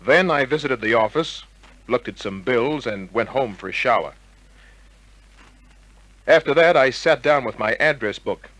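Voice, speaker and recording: An actor playing a wisecracking, suspicious private detective in 1950s radio crime drama style, with clipped, sardonic tough talk. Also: male voice, mid-range voice, animated, a little slow, pauses often, a bit bright, no room sound, poor audio. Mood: dry narration. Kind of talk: 1950s radio crime drama acting and first-person narration. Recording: old radio drama recording with music.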